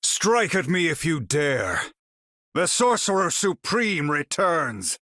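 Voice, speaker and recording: A proud wizard hero for a video game, super theatrical n formal, voice swings up and down a lot, dry studio sound. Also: male voice, a little high, very animated, takes it slowly, sharp tone, no echo, full character voice. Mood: commanding, urgent. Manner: grandiose, formal, incantatory delivery. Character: proud, battle-ready sorcerer supreme, grandly theatrical hero. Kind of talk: video game character voice lines.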